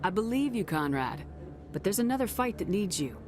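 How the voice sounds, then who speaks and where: A woman's voice: mid-range voice, sing-song, a little fast, lightly acted. An actress playing a firm, principled female military commander in a game, direct and controlled. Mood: patient, firm.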